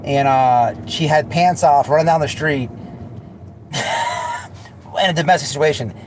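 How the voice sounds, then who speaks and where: A man hosts a casual livestream in a voice pitched a little high. His talk is blunt and full of slang and swearing. There is some car noise behind him. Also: measured pace. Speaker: livestream host. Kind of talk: casual livestream chat and commentary. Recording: noisy recording with car sounds.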